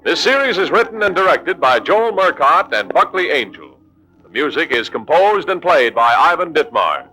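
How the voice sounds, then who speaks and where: A man's voice: a little high, sing-song, normal speed, bright, forceful tone, soft background music, poor audio. A man working as a radio announcer, reading scripted credits in a polished, declamatory 1940s style.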